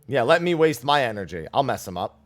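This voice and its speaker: Lively male game streamer. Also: medium pitch, brisk. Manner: joking, brash banter. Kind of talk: casual playthrough commentary.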